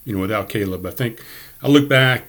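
A man hosting a casual podcast from his home studio, talking with a big, projecting voice and a rambling, relaxed flow. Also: fairly low pitch, a little fast. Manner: loud, projecting voice. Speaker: podcast host. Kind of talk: casual chat. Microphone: studio mic on a board.

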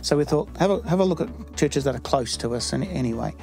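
Gravelly New Zealand man, interviewed. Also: mid-range voice, animated, darkish tone. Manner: halting, many fillers and restarts. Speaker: church member.

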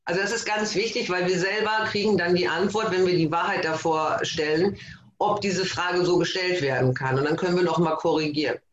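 Guest: bubbly, overexcited man, high voice, echoey room with a dull, muffled sound. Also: fairly quick, a little hiss or hum. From East Frisia, Germany. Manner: excited, bubbly, self-described as overexcited. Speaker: guest, course facilitator. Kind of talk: casual podcast interview.

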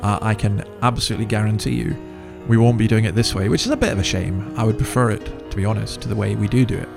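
A man's voice: lowish voice, fast, gravelly, music in the background. A man hosting a podcast with informal commentary, talking in a conversational, rambling way and correcting himself as he goes. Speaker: podcast host.

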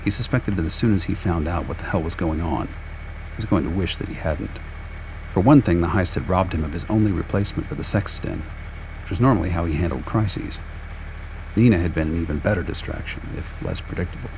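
A man's voice: low voice, fairly quick. A man reading scripted radio play narration in a wry, sardonic manner. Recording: faint background noise.

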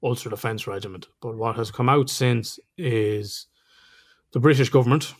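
A man's voice: medium pitch, normal speed. A man with an Irish accent, co-hosting a podcast in a casual, chatty way, laughing often and swearing now and then. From Ireland. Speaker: podcast co-host.

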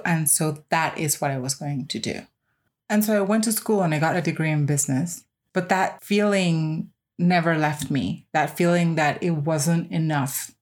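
A woman in her thirties or older giving a personal, reflective podcast monologue with emphatic, repeated phrasing. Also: low-pitched. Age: 30s or older. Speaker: podcast host.

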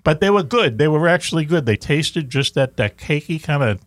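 A man's voice: a little high, lively intonation, moderate pace, no echo. A middle-aged man with a Boston accent hosting a radio talk show, rambling and joking with the gang and swearing casually. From Boston area, USA. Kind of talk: casual banter.

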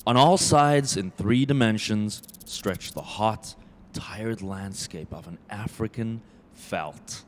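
A man playing the solemn narrator of a radio play, with measured, evocative delivery. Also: on the low side, a little mellow, slight background noise, natural voice. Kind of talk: scripted dramatic narration.

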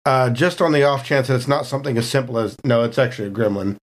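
Mid-pitched man playing a tabletop game. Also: fast talker. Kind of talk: casual game chat, thinking aloud.

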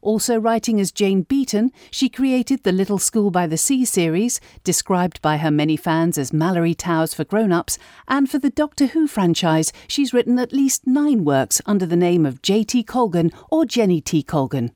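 A woman's voice: mid-range voice, lively intonation, moderate pace, hardly any pauses, warm tone, dry recording, studio quality. A woman hosting an interview show, moving between scripted introduction and questions to her guest. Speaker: interview host.

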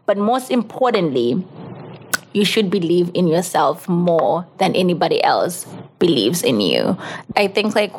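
A woman's voice: on the low side, slight background noise. A young woman from Zimbabwe, a podcast guest, talking earnestly and almost like a sermon, with lots of "like" and "you know". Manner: earnest, sermon-like motivational delivery with many "like" and "you know" fillers. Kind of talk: casual interview conversation.

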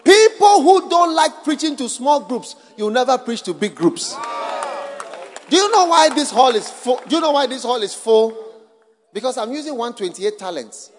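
High-voiced man preaching in an echoing hall. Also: big pitch swings, unhurried, long pauses, some chatter behind, a little hiss or hum. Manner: repetitive, rhetorical, builds to shouting. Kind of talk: sermon. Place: large full hall. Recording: long hall reverb.

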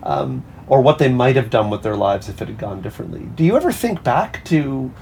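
A man's voice: medium pitch, big pitch swings, fairly quick, creaky, darkish tone, slight background noise. A man hosting an interview, asking questions conversationally in hesitant, circling phrases. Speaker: interviewer, host.

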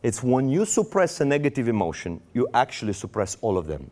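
A man's voice: mid-range voice, big pitch swings, fairly quick, darkish tone. A man preaching a sermon in English with a Romanian accent, his first language Romanian, acting out quoted prayers with raised voice.

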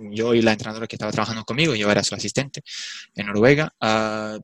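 A man's voice: on the low side, quick pace, a bit bright. A young man from Portugal, a swim coach speaking Spanish with a Portuguese accent, talking thoughtfully and a little hesitantly in an online discussion. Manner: thoughtful, hesitant, apologetic about his Spanish.

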